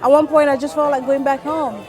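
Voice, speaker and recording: A woman from Cameroon answering casually in an interview, pausing and hesitating as she goes. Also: high voice, little pitch movement. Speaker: interviewee, kindergarten English teacher. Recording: distant mic with music and crowd. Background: music and urban crowd.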